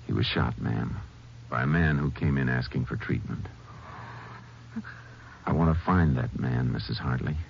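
An actor playing a determined, plain-spoken Texas Ranger in 1950s radio drama style, terse, grave and courteous. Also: male voice, extremely low pitch, normal speed, vocal fry, long pauses. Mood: grave, gentle. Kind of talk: 1950s radio western acting and narration. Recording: muffled, noisy 1950s radio recording.

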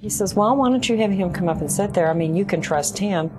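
A woman's voice: mid-range voice, quick pace, music in the background. A woman with an American accent recounting events conversationally in an interview played from an archive tape. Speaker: interviewee, former restaurant manager.

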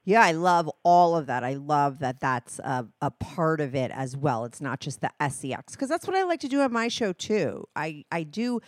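A woman's voice: sits low, very animated, moderate pace, hardly any pauses, no echo, clean studio sound. A middle-aged woman hosting a podcast, chatting candidly and bluntly, swearing and laughing often. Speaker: podcast host. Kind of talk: casual interview chat.

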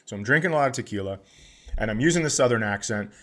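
A man's voice: medium pitch, lively intonation, quick pace, a bit bright. A man who is a comedian and podcast co-host, chatting casually and telling stories in a profane, mock-indignant rant. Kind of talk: casual podcast chat and storytelling.

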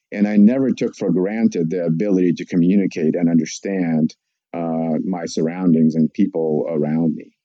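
A man from Russia, a psychologist giving measured, explanatory answers on a podcast. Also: low voice, warm tone, no echo, dull audio. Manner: measured, explanatory, lists points.

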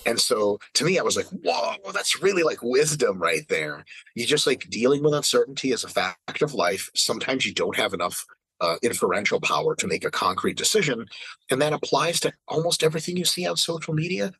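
A man's voice: mid-range voice, very animated, brisk, sharp tone, husky, no echo, poor audio. A man in his late thirties in casual podcast chat, ranting with emphatic, profane energy. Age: 30s. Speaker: fitness podcast regular.

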